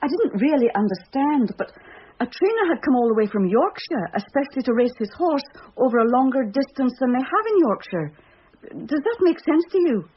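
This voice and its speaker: A woman's voice: sits high, big pitch swings, brisk, slightly rough, full character voice. An actress playing an airy, self-satisfied policeman's wife in a British radio drama. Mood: pleased, airy. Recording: muffled radio recording.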